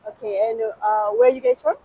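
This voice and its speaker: A woman interviewing people on a city street, asking brisk, short questions while holding a handheld mic toward them. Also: on the high side, big pitch swings, breathy edge, narrow, tinny audio. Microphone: holding a handheld mic toward others.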